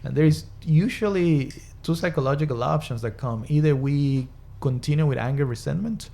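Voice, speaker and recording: A man's voice: on the high side, normal speed, gravelly, dark tone. A man with a Spanish-speaking accent talking as a guest professional in a panel discussion, explaining things conversationally with analogies and rhetorical questions. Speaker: guest mental health professional.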